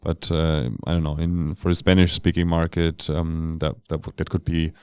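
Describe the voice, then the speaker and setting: A man speaking as a startup founder and interview guest, casually, with halting phrasing and repeated words. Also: very deep voice, level tone, darkish tone, smooth, no room sound.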